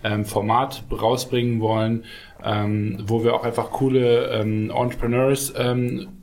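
A man's voice: medium pitch, level tone, measured pace, a little mellow, a little hiss or hum. Male podcast host, casual interviewer, filler-heavy speech. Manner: loose, filler-heavy, frequent ähm.

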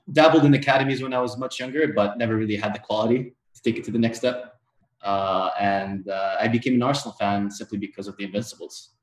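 A man from Tunisia, a guest on a casual podcast, telling in a relaxed, friendly way how he came to love football. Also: lowish voice. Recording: roomy echo.

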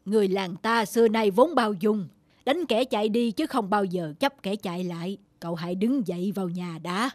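A woman voicing a wise, forgiving old male village elder who speaks in a measured, moralizing way in an animated folk tale. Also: mid-range voice, no room sound, close to an everyday voice. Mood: calm, forgiving. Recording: loud music over the voice. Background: music.

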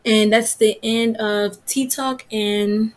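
Hoarse young woman hosting a podcast. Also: fairly high pitch, fairly even intonation, takes it slowly. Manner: chatty, rambling, off-topic asides. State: hoarse from allergies. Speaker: podcast host. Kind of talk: casual solo podcast talk.

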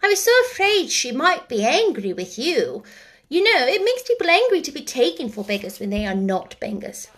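A woman puts on a prim, decisive young girl from a storybook. The voice is raised high and speaks emphatically, like a child laying down her opinion. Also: big pitch swings, normal speed, a bit bright. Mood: anxious. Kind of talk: storybook character voice.

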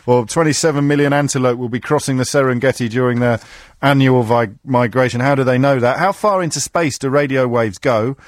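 A man's voice: mid-range voice, fairly even intonation, a little fast, no echo. A middle-aged man hosting a radio phone-in, dry and teasing, with a self-mocking humour. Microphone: studio microphone.

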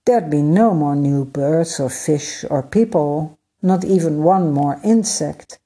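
Woman reader doing a patient sky god, slow and low, explains things gently. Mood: patient, explaining. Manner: calm, unhurried, gently explaining. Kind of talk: storybook read-aloud.

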